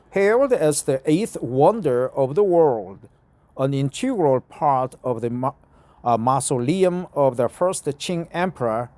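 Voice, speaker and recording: A man's voice: fairly high pitch, darkish tone. A man from Korea speaking English with a Korean accent, chatting and then carefully reading an article aloud outdoors, with frequent hesitations. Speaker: vlogger. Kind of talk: casual talk and reading aloud. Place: outdoor courtyard bench in front of a church.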